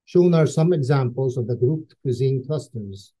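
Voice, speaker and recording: Man with a very dark mellow voice. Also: mid-range voice, lively intonation, moderate pace, husky. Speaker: student presenter. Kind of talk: scripted project presentation.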